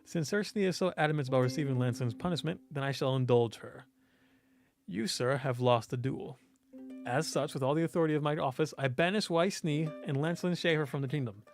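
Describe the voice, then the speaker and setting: A man's voice: fairly high pitch, brisk, soft background music, lightly acted. Man putting on a haughty, stern duke. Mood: stern, contemptuous. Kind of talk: visual novel character reading.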